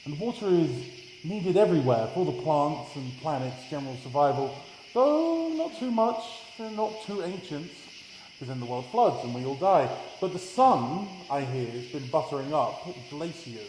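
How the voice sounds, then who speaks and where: A British man performs his own spoken-word poems at a live event, with a theatrical, deliberate storytelling delivery and dramatic emphasis. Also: on the high side, expressive, a little mellow. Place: live at a festival event in a room. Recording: roomy, echoey live event, background noise.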